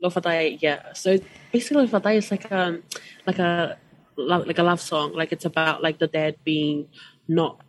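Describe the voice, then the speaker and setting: A woman's voice: lowish voice, fairly even intonation, normal speed, a little hiss or hum, poor audio. A young woman student guest, chatty and rambling, full of likes and ums. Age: young adult. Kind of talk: casual radio chat.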